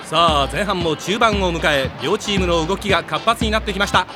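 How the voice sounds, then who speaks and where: Man acting a high-voiced, excitable soccer match announcer. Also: fairly quick, over music, natural voice. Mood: eager, building. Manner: dramatic, breathless build-up of each play. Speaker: sports commentator. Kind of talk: anime sports commentary.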